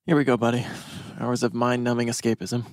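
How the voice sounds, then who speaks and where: A man's voice: mid-range voice, level tone, a little fast, no room sound, studio quality, lightly acted. An actor playing a wry, awkward, talkative teenage boy in a table read of a teen drama.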